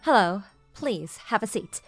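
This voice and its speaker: A woman's voice: mid-range voice, expressive, measured pace, soft background music. A woman playing a composed company chief in a manga dub, formal and probing, with a teasing streak.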